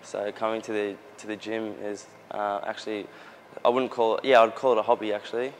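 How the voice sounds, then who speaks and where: A young Australian man speaks earnestly in a low, fairly flat voice, restarting phrases as he gathers his thoughts. He is recorded outdoors, with faint street and traffic noise behind him. His delivery stays casual and sincere. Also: brisk, poor audio. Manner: earnest, repeats words while searching for phrasing. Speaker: young footballer. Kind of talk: casual interview answers. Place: outdoors near a gym with traffic.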